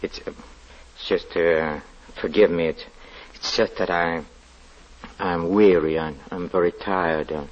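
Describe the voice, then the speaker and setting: An actor playing a stern, religious male lodger who speaks in halting, hesitant phrases in a vintage radio drama. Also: low voice, fairly even intonation. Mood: brooding. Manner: halting, hesitant speech with sudden sharp outbursts. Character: stern pious male lodger, brooding and sinister. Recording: dull lo-fi old radio recording.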